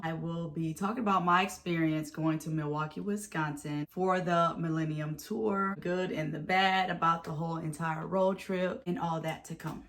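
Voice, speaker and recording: A woman in her thirties with a raspy voice from a head cold, casually telling a storytime vlog with animated retellings. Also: on the low side. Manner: chatty, animated storytelling with acted-out quotes. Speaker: vlogger.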